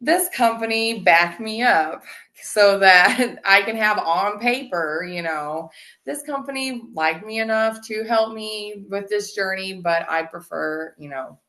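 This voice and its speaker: A woman's voice: medium pitch, measured pace, sharp tone. Chatty woman host, laughing often. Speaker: podcast host. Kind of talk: casual interview chat.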